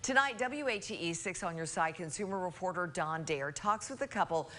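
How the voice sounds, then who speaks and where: Low-voiced female news anchor. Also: lively intonation, brisk, very noisy. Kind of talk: scripted newsreading.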